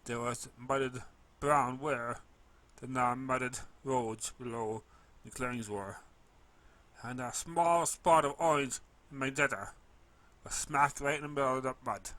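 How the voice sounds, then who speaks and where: A man narrating a first-person story expressively, with an animated manner and the occasional stumble over words. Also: medium pitch, a little slow.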